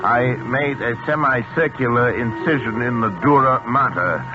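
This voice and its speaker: A man's voice: medium pitch, moderate pace, close to an everyday voice. Muffled vintage radio drama, male actor as guilt-ridden surgeon father, grave dramatic confession, music behind. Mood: grimly clinical. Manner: grave, literary, dramatic delivery. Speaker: actor in a radio horror play. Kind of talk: 1940s radio drama acting, first-person narration. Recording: muffled old 1940s radio recording with music.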